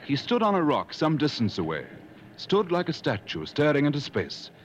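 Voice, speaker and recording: An actor playing a reasonable, gently teasing gentleman explorer, measured and genteel, in 1950s radio drama style. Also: a little high, huge pitch swings, slight background noise. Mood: solemn storytelling. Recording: muffled old radio broadcast with music.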